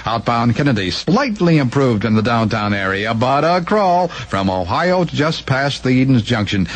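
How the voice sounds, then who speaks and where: A man reading a clipped radio traffic report in short phrases on a 1970s AM broadcast. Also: mid-range voice.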